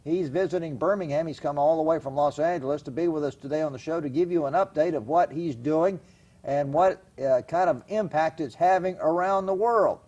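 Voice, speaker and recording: A man's voice: on the high side, brisk, a little mellow, muffled recording. A man hosting a television talk show, introducing and interviewing a guest in a chatty, emphatic way. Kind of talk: studio interview. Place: television studio.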